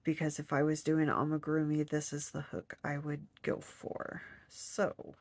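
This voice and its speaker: Low, gravelly-voiced stuffy woman crafter. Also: monotone, a little slow, no room sound. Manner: talks to herself while crocheting, counting stitches under her breath. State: stuffed up nose. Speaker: crochet vlogger. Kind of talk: casual hands-on crafting demo.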